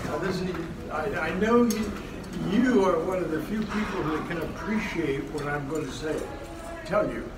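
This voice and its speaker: Echoey, high-pitched elderly man telling stories. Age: 80s or older. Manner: halting, checks in with his listener. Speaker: assisted living resident telling a story. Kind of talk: conversational storytelling. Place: large indoor room at a care home. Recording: echoey room, distant mic, loud noise.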